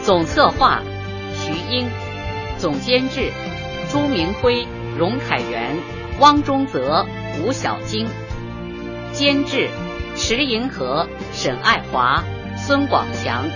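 A woman's voice: on the high side, very animated, takes it slowly. A woman announcer reading scripted credits for a radio drama in a formal presentation style. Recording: dull band-limited broadcast, music behind.

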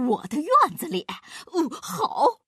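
Woman doing a husky, slow, gullible fat man. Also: sits high, dry recording, studio quality, heavily acted. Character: big fat man, pleading, gullible and anxious. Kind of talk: children's storybook character voice.

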